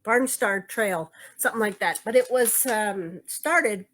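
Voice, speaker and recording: A woman's voice: medium pitch, measured pace, fairly bright tone. A woman co-hosting a casual quilt shop video, chatting and rambling as she hunts for words while showing her craft projects. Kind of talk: casual show-and-tell chat.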